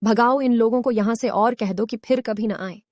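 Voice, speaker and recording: A woman's voice: on the high side, fairly even intonation, moderate pace, darkish tone, dry recording, clean studio sound, close to an everyday voice. A woman voicing a proud, harsh young prince in a storybook tale, blunt and formal. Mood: scornful.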